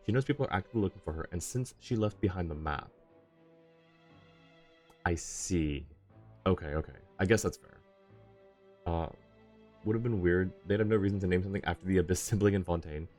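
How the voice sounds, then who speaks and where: A man with a low voice streams a game and comments casually. He muses haltingly as he goes, with game music audible behind him. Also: a little mellow. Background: video game music.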